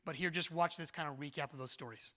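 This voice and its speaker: A middle-aged man preaching a sermon as pastor, energetic and earnest, repeating his key phrases. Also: fairly high pitch, quick pace.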